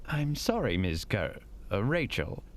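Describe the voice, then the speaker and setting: An actor playing a prim, formal, defensive hotel manager in an audio drama. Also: male voice, lowish voice, sing-song, measured pace. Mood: apologetic, defensive.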